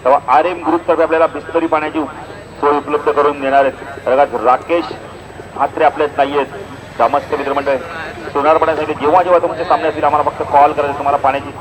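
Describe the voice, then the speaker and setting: A man giving live play-by-play cricket commentary in an animated announcer's delivery, with punchy stock phrases. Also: fairly high pitch, quick pace, low-quality recording. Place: outdoor cricket ground. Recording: outdoor event PA with crowd noise. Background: crowd and outdoor event noise.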